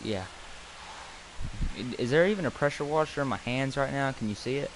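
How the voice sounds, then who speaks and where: A man's voice: mid-range voice, a little fast, slight background noise, low-quality recording. A fourteen-year-old boy chatting casually with viewers while playing a farming game, rambling and repeating words as he starts sentences. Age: teens. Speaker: gaming video host. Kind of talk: casual gameplay chat with viewers.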